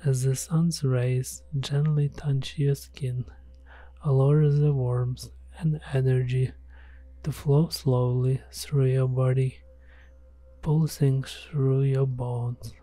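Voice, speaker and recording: Male, meditation guide, very even pitch, unhurried, soothing, gentle, music underneath. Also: no room sound, low-quality recording. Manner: soothing, gentle, measured delivery. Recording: soft music behind the voice. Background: background music.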